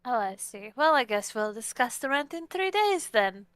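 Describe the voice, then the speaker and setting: Woman playing a clear, high-voiced polite vampire. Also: very animated, normal speed, a bit bright, no room sound. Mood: questioning. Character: polite, mildly coy female vampire newcomer. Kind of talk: improvised tabletop roleplay.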